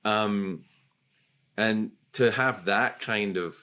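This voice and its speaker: A man in his sixties, hosting a candid conversation, talking in a reflective, halting way full of restarts. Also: lowish voice, sharp tone. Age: 60s. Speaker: podcast host.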